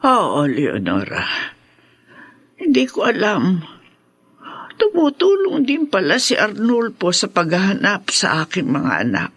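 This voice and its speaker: A woman's voice: medium pitch, wildly swooping pitch, unhurried, pauses often, a bit bright, slightly rough, dry recording, full character voice. An older actress with a Filipino accent playing a remorseful, ailing mother in a radio drama, heavy and tearful in delivery. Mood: sad longing. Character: sorrowful, remorseful ailing older mother longing for her children.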